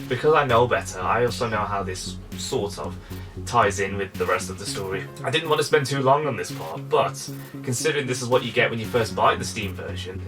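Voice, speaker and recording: A man giving an informal, conversational visual novel review with wry asides. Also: medium pitch. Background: music.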